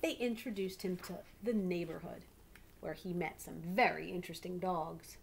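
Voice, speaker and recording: A middle-aged woman reads aloud expressively like a teacher, pausing to prompt young listeners. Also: lowish voice, fairly even intonation. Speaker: teacher reading a picture book aloud to pupils. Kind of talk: read-aloud storytelling with questions to children. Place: small living room indoors. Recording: clearly noisy home webcam recording.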